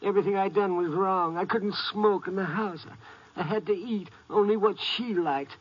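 An actor playing a frightened, henpecked old American man in a radio western, pleading in folksy speech with a raspy voice. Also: high voice, moderate pace. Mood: aggrieved. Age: older. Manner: pleading, plaintive, folksy grammar. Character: frightened, henpecked old man hiding from his domineering daughter. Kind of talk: 1950s radio western acting. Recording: muffled old 1950s radio recording.

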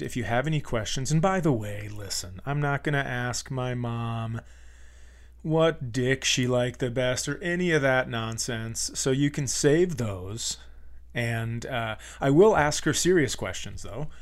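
An American man, a comedian and podcast host, talking in a casual, rambling, joking and sometimes profane way. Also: medium pitch.

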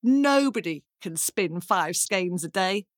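A woman's voice: fairly low pitch, animated, slow pace, dry recording, studio quality. A woman voicing an anxious, despairing young girl from a storybook, plaintive and flustered. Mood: distressed. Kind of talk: storybook character voice.

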